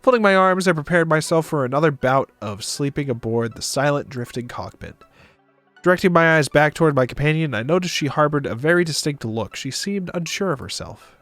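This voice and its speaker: A man's voice: fairly high pitch, lively intonation, a little fast, a bit bright, dry recording. Man reading a story over music. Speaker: visual novel reader on a stream. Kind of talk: narrating a visual novel aloud, with casual asides. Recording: music behind the voice.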